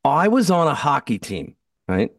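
A man's voice: a little high, sing-song, normal speed, creaky, far from the mic. A man in his fifties, a guest actor, telling easygoing, self-deprecating anecdotes in a casual interview, often restarting his sentences. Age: 50s.

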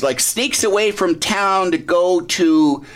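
A man's voice: sits high, takes it slowly. A middle-aged man, a guest on a talk show, telling a story in casual chat, laughing and mocking himself, with lots of restarts. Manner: animated, laughing, self-mocking, many restarts. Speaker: actor and guest.

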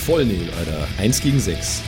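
A man with a lowish, animated voice banters in an improvised tabletop game. He is slangy and joking, with music clearly behind him. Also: moderate pace. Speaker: role-playing game player.

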